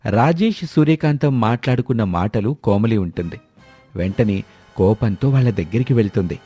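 A man's voice: lowish voice, animated, normal speed, mellow, husky, soft background music. A man narrating a scripted story as its storyteller.

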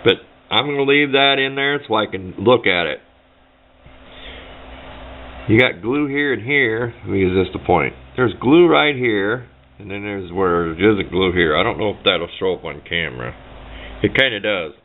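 A man's voice: lowish voice, lively intonation, moderate pace, a little hiss or hum. A man giving a casual, unscripted how-to at a workbench, talking in a plain, rambling, folksy way. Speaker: hobbyist demonstrating a homemade build. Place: indoors at a workbench. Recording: muffled, narrow phone-like camera audio.

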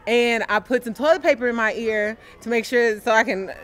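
A young woman from Dallas, chatty and animated, telling a personal story as an interviewee outdoors on a sunny lawn. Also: on the high side, brisk. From Dallas, Texas. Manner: chatty and animated, gesturing with her hands. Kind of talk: casual storytelling.

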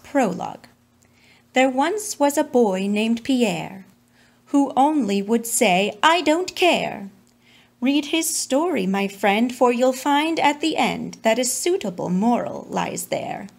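A woman's voice: a little high, expressive, slow pace, vocal fry, darkish tone. A woman reading a children's storybook aloud as its narrator. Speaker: storybook reader.

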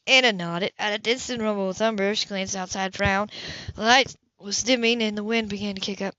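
A woman's voice: medium pitch, fairly even intonation, brisk, pushed and bright, no echo. Amateur woman reader, casual slips, muffled dry sound. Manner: reads with slips and stifled laughs. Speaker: audiobook reader. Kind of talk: amateur audiobook reading.

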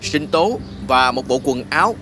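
A man playing a kind, patient human guide in dubbed fantasy film dialogue, explaining things gently. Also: mid-range voice, animated, measured pace, a little hiss or hum. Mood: kind, helpful.

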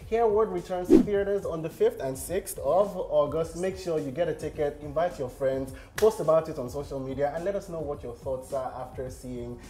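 A man's voice: a little high, moderate pace, hardly any pauses, warm tone. A young man, chatting as the host of a TV interview show. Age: young adult. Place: large open-plan office studio, indoors.

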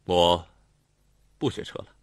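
A man voicing a hot-tempered young male student who talks in a sharp, raised, angry way for an audiobook. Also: slow pace, dry recording, studio quality, natural voice. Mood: sullen. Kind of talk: audiobook character voice.

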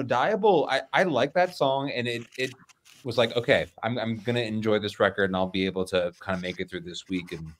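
A man in his thirties in casual review chat, halting as he thinks aloud. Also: mid-range voice, a bit bright. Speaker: album review panelist. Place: small home room. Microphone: close desk microphone.

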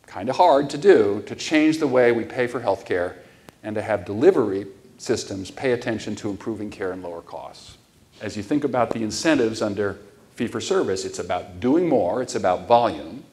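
A man's voice: medium pitch, darkish tone. A middle-aged man lectures from a large conference stage, through a lapel mic. His voice sits in an ordinary male range. He explains steadily and earnestly, stressing the points that matter. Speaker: keynote speaker.

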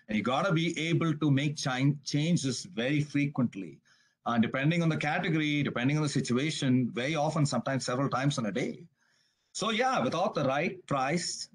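A man presenting on a webinar over a video call, in a brisk, conversational way. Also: medium pitch. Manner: brisk, conversational, frequent "you gotta". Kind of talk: business webinar talk.

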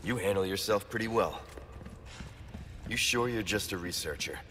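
An actor playing a gruff, sardonic young action hero in a video game, terse and no-nonsense, with an American accent. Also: male voice, fairly low pitch, normal speed, fairly bright tone, reverberant, long reverb tail, a little hiss or hum, lightly acted. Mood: dry sardonic. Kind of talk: dramatic video game dialogue.

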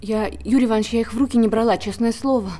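An actress playing a modest, anxious young woman on a theatre staff in a film drama, hesitant and soft-spoken. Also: a little high, racing, natural voice. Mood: distressed, defensive. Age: young adult.

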